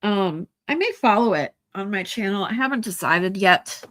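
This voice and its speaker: A woman's voice: medium pitch, animated, moderate pace, fairly bright tone. Stuffy-nosed woman in her forties chatting. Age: 40s. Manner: rambling, many ums and restarts. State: stuffy nose. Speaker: livestream host. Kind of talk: casual chat with viewers.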